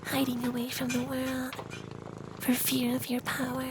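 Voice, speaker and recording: A performer voicing a gentle, sweet, ancient femboy vampire in a hushed, partly whispered ASMR fantasy roleplay. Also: high voice, monotone, measured pace, background noise. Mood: tender. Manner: hushed, breathy near-whisper, lingering delivery.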